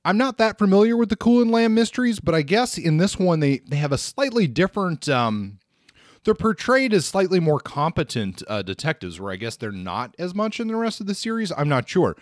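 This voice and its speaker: A man's voice: sits high, brisk, dry recording, clean studio sound. A man hosting a podcast, casually reviewing crime novels with many fillers and small restarts. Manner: relaxed, hesitant with many fillers. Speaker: podcast host reviewing books. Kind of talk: casual book review talk.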